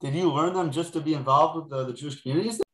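A man interviewing a guest, asking questions haltingly and repeating words. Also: fairly high pitch, a bit bright. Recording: echoey room.

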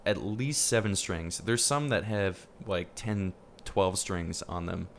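A man's voice: sits low, slow pace, a little hiss or hum. A man chatting enthusiastically as host, nerdy and curious, with lots of ums. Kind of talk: casual chat.

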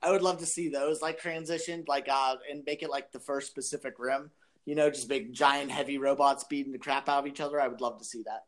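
A man's voice: medium pitch, steady tone, a little fast. A man co-hosting a casual podcast, speaking informally and with enthusiasm. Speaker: podcast co-host.

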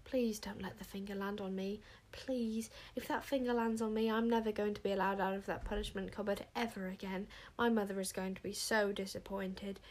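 Storybook heroine: frightened, timid girl, performed by a woman, hushed, pleading delivery. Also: mid-range voice, little pitch movement, fairly quick, few pauses, natural voice. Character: anxious, timid young girl, desperate to hide.

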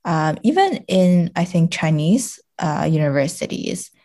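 A woman's voice: fairly low pitch, big pitch swings, measured pace, mellow. A woman speaking as a podcast guest in a casual literary discussion, feeling hesitantly for her words.

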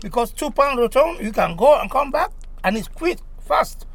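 High, gravelly man arguing in a group. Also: big pitch swings, moderate pace, fairly bright tone. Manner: insistent, hesitant, repeats points to persuade. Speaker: community group member. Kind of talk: casual discussion. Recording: noise behind the voice.

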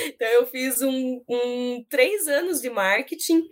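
A Brazilian woman in her thirties with a rural São Paulo accent and drawn-out r, talking casually as an interview guest. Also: high-pitched. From São Paulo state, Brazil. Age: 30s. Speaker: interview guest, education professional.

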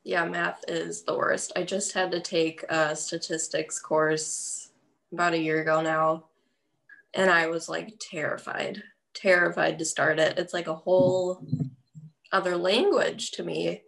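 Young woman guest, lower pitch with a gravelly fry, talkative and quick to laugh. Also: fairly even intonation, a bit bright, rough recording. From Milwaukee area, USA. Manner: chatty, giggly, says "like" often. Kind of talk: casual interview chat.

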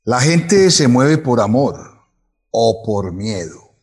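An actor with a Colombian accent playing a vain, domineering politician in a radio drama, speaking with deliberate threat. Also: male voice, medium pitch, very animated, a little mellow, breathy edge, heavily acted. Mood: cold menace. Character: menacing, vain, domineering male politician, contemptuous of women.

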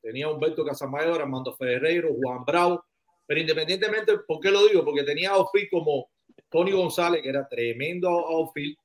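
A man argues baseball history on a lively panel. His voice sits a little high, and he keeps pressing to be allowed to finish. He talks with steady insistence. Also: a little fast. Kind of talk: heated baseball debate.

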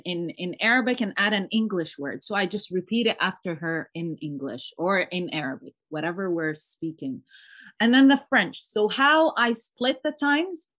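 A woman's voice: mid-range voice, fairly bright tone. A woman in her thirties, an earnest and chatty homeschooling mother, talking through her family's routine as a guest in a casual interview. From Palestinian family, raised in Canada. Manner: earnest, chatty, sprinkles Arabic phrases.

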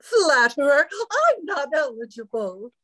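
A giddy, wealthy society hostess in a vintage-style radio play. Her voice sits very high and swoops about as she gushes and flirts with affected delight. The sound is dry and close. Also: big pitch swings, slow, bright, forceful tone, dry recording, full character voice. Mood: excited, grand. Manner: gushing, affected, coquettish. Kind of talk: old-time radio comedy-drama acting.

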